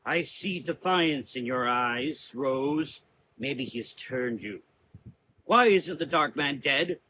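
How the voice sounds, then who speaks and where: A man's voice: medium pitch, animated, takes it slowly, sharp tone, a little hiss or hum. A man voicing a stern, commanding, suspicious woman of about forty who leads a temple in a gothic audio drama, speaking with accusing authority. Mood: angry, accusing.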